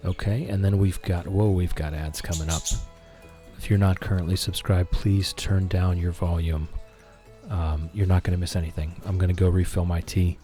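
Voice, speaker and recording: Male streamer, low mellow voice, gentle and friendly, soothing chat over faint music. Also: level tone, moderate pace. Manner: gentle, friendly, chatting with viewers. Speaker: game streamer, host. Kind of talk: calm, soothing livestream chat over gameplay.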